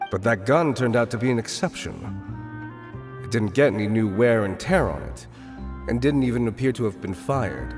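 An actor playing a shrewd, guarded bartender in a video game, dry and wary in manner. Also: male voice, mid-range voice, lightly acted. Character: guarded, shrewd underworld bartender, adult man. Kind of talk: video game dialogue acting.

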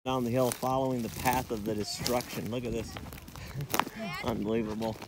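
A man's voice: mid-range voice, fairly even intonation, normal speed, low-quality recording. A man casually narrating an outdoor camping vlog on a handheld camera, often exclaiming in amazement. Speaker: narrator of a family camping trip. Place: outdoors on a forested mountain.

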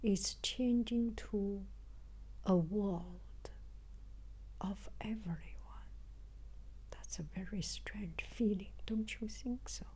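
An older woman speaks in a soft-edged, mellow voice that is breathy and close to a whisper. She moves slowly through her reflective vlog narration. She often halts, searching for words and repeating a phrase before going on. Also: mid-range voice. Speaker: travel vlogger.

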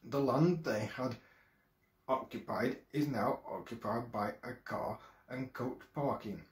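A man in his thirties from England reads a history script from a screen at home, careful and a little halting, with occasional restarts. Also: mid-range voice. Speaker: video presenter. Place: small room at home, indoors. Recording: echoey room.

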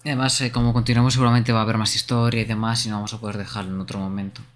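A man's voice: fairly low pitch, flat delivery, very fast. A man hosting a gaming livestream with casual, conversational chat. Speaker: streamer, host.

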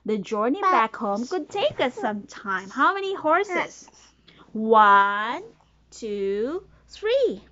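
Animated high-voiced mother reading slowly to child. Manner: sing-song, prompting the child with questions and counting. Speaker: mother reading to her toddler. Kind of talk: reading a picture book aloud to a small child. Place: small bedroom, sitting on a bed. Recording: dull lo-fi home recording.

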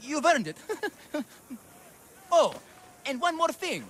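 An actor playing a timid, shifty, eager-to-please husband with a flustered, theatrical manner in a video game cutscene. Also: male voice, very high-pitched, big pitch swings, takes it slowly, fairly bright tone, slight background noise, full character voice. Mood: showy, wheedling.

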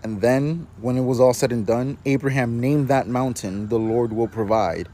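A man with a mid-range voice speaks earnestly, clearly moved by what he is sharing. He films himself outdoors on a phone at arm's length, with faint noise from the surroundings behind him. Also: medium pitch, moderate pace, dark tone. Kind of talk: short faith video.

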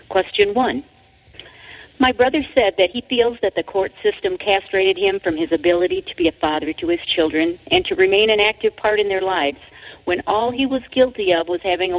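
A woman author reading their own book aloud as scripted narration, in a deliberate, emphatic manner. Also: mid-range voice, expressive. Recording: dull, lo-fi audio.

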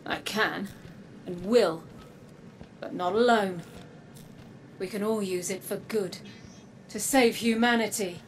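An actress playing a weary, resolute robot woman in a video game, speaking in an earnest, measured, solemn way. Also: mid-range voice, a little hiss or hum, natural voice. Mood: defiant. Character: weary, resolute robot woman, a guarded sister from dark future. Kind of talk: dramatic video game acting. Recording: game music behind the voice. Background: game soundtrack music.

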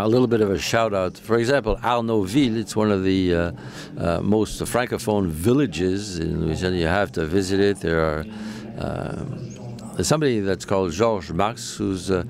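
A man giving live interpretation of a panel discussion, halting, with frequent fillers and self-corrections. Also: low-pitched, creaky, a little mellow. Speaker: simultaneous interpreter.

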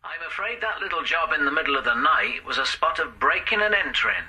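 Matter-of-fact male detective, husky, higher voice, dry delivery. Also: big pitch swings, fairly quick, sharp tone, exaggerated voice. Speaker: police officer.

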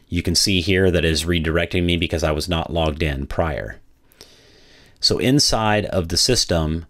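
A man narrating a product walkthrough as a software demo presenter, in a methodical, explanatory way. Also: low voice.

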